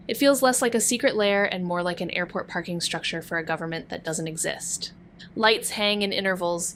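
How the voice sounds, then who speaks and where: A woman's voice: medium pitch, slight background noise, lightly acted. A woman voicing a wry young amateur sleuth who narrates a noir audio drama with dry, sardonic remarks. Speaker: narrator and investigator.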